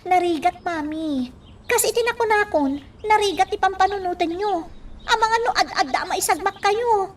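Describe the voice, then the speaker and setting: A woman's voice: very high voice, moderate pace. An actress playing a worried young daughter who cautions her mother in an Ilocano radio drama. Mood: anxious, reproachful. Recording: distant mic, faint background noise.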